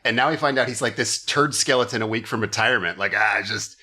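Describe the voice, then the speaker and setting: A man's voice: lowish voice, fast talker, pushed and bright. A man hosting a comedy podcast, chatting casually with wry, exasperated and profane riffing. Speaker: podcast host.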